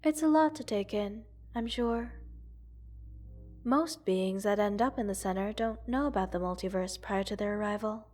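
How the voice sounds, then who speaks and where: A woman voicing a gentle, curious alien scientist in an ASMR roleplay, speaking formally, precisely and reassuringly to the listener. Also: medium pitch, normal speed, soft background music, close to an everyday voice. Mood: patient explaining. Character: gentle, curious multi-world alien scientist studying a captive human.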